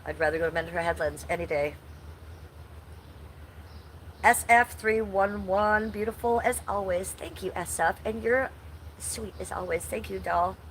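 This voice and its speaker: A woman's voice: medium pitch, normal speed, slight background noise, low-quality recording. A woman from Ohio chatting casually on a livestream, stuffy and nasal from allergies, swearing freely as she walks through her backyard. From Ohio, USA. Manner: chatty, profane, reacts to chat. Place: home and backyard, outdoors.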